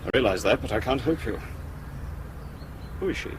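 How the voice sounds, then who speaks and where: Actor as a curt police doctor, noisy background. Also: male voice, mid-range voice, animated, moderate pace, natural voice. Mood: curt, impatient. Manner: curt, clinical, impatient. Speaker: actor in a radio drama. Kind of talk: naturalistic British radio crime drama.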